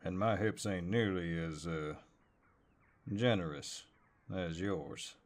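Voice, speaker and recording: A man playing a flirtatious, easygoing cowboy neighbor with a deep voice and a folksy American Southern drawl, in an intimate roleplay audio. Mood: warm, teasing. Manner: folksy drawl, playful and unhurried.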